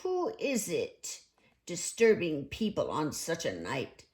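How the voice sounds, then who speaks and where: Lady doing a big gruff badger from a kids story, slow talker, kind underneath. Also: sits low, wildly swooping pitch, darkish tone, full character voice. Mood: suspicious, annoyed. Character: gruff but kindly large male badger.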